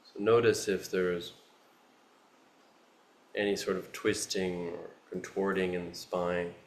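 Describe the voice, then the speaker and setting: A man leading a guided meditation as a teacher, speaking in a soothing, unhurried manner with long pauses. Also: low-pitched, level tone. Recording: roomy, echoing space.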